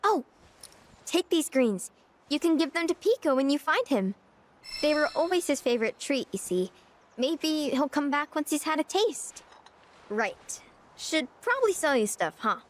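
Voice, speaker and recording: A sweet little ranch girl for a video game. She is high-pitched and lilting, earnest and polite with a touch of shyness. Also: big pitch swings, measured pace. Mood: gentle, helpful. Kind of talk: video game cutscene acting.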